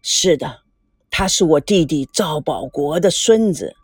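This is a gentle old grandfather in a storybook, slow and soft, pausing as he speaks, voiced by a woman narrator. The voice sits lower than her own, with a husky edge. It is a dry, close recording. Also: expressive, dry recording, exaggerated voice. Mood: calm, solemn. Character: gentle old grandfather revealing a family secret. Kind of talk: audiobook character voice.

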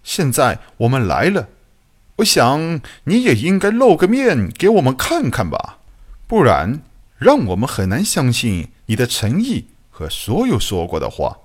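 A man's voice: medium pitch, wildly swooping pitch, a little slow, breathy edge, heavily acted. A man voicing a composed starship leader in an audiobook, challenging and probing.

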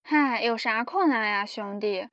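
A woman's voice: on the high side, measured pace, lo-fi sound, background noise, lightly acted. A woman playing a chatty manual labourer friend, breezy and folksy, in an amateur radio drama. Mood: breezy, sympathetic.

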